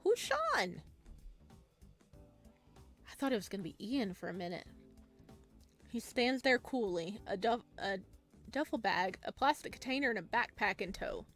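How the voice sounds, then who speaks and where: Casual, expressive woman reading a game aloud. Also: mid-range voice, measured pace, long pauses, soft background music. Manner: casual, expressive, jokey asides. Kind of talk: visual novel read-aloud with casual commentary.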